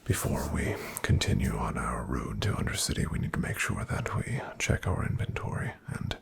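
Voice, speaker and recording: A man in an ASMR roleplay voicing a calm, careful paladin travel companion, softly whispering to the listener. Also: a bass voice, normal speed, gravelly, heavily acted. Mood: calm hushed. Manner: soft, gentle whispering to the listener.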